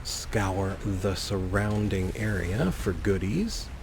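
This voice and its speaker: A man's voice: low-pitched, lively intonation, takes it slowly, noisy background. A man hosting a let's play, commentating and reading game text aloud with wry, playful asides. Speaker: gaming video host.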